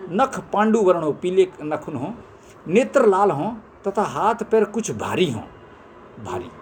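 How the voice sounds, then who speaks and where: A man's voice: high-pitched, very animated, measured pace, slight background noise. A man reading scripture aloud in a formal way, phrase by phrase, in an amateur recording. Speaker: reader of scripture.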